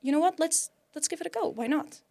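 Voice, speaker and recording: A woman's voice: sits high, quick pace, mellow. A young woman in her twenties with a Portuguese accent, chatting as a podcast guest in an upbeat way, laughing often. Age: 20s. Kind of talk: casual interview chat.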